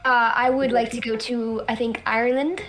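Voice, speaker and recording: A woman's voice: on the high side, fairly even intonation, moderate pace, a bit bright, faint music behind. A woman hosting a casual livestream, putting questions to her guests in an informal, chatty way. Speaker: livestream host asking questions. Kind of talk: casual question-and-answer chat.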